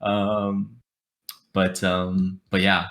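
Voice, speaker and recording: Young man guest, rambling storyteller, bright forward tone, lowish voice, headset at home. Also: monotone, extremely slow. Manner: rambling, self-deprecating, often restarts sentences. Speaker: podcast guest, streamer. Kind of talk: casual storytelling on a video call. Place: home room with gaming chair.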